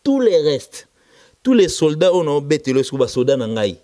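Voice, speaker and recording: A man with a Congolese accent and Lingala as his first language speaks as an interviewed musician, emphatic and repetitive, switching between halting French and Lingala. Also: fairly high pitch, very animated, dark tone. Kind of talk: casual interview, recounting band history.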